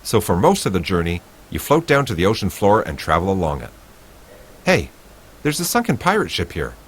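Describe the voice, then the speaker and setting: A man's voice: low voice, huge pitch swings, fairly quick, husky. A man reading a text adventure game aloud with playful asides.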